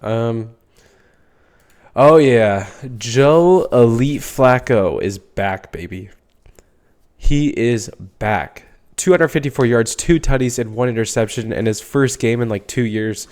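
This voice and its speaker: A man's voice: medium pitch, slow pace, a little mellow. A young man with a congested, stuffy voice, rambling casually through a solo sports podcast with lots of fillers and the odd swear. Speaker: podcast host.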